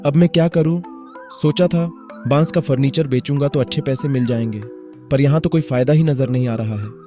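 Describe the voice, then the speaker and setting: A man's voice: mid-range voice, fairly quick, warm tone, soft background music, close to an everyday voice. A man voicing a poor, kind village rickshaw driver in a cartoon, earnest and exclamatory. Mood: worried. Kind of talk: Hindi cartoon voice acting.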